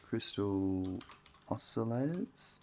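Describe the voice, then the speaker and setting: A man's voice: fairly low pitch, animated, slow, darkish tone, slight background noise. A man streaming a building game, chatting casually and thinking aloud as he works, with his voice getting strained. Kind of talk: casual gameplay commentary.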